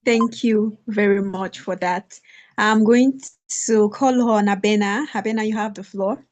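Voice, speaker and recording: Lady hosting an online event, informal, middle-pitched voice, passing the floor around. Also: fairly even intonation, measured pace. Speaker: host moderating an online graduation event. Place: online video call.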